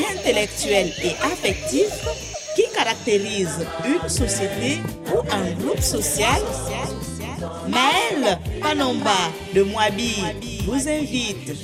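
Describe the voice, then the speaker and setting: A woman presenter reading a scripted radio promo in a melodic, sing-song way. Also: fairly high pitch. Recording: music bed under voice.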